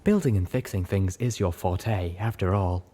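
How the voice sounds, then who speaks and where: A man's voice: sits low, moderate pace, dark tone. A man voicing a refined female unicorn with a purring, sweetly persuasive manner in a storybook reading. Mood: coaxing. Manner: purring, coaxing.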